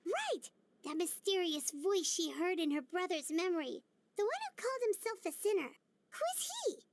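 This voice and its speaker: A woman voicing a tiny, earnest, excitable childlike fairy companion in a video game, chirpy and inquisitive. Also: very high-pitched, normal speed, exaggerated voice. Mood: eager, curious. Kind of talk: video game character dialogue. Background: background music.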